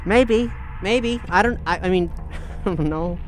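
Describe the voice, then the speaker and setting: Higher-pitched, hesitant male game player. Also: unhurried. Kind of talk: casual improvised in-character chat. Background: music.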